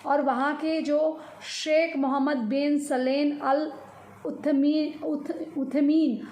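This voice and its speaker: A woman with an Indian accent giving informal news commentary, explaining in a halting, repetitive way and mixing in English words. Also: high voice, fairly even intonation, darkish tone. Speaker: commentator on social and religious news. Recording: echoey room with faint noise.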